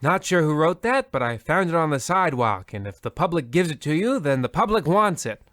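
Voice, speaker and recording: Man as a deadpan, lively old-time radio host. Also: on the high side, fairly quick, no echo. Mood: droll. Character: droll sign-off host of spooky radio show.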